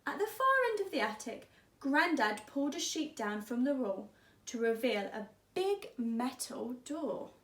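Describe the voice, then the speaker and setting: A woman teacher reading a children's story aloud, expressively and deliberately, drawing out words. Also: on the high side, very animated, a bit bright, reverberant.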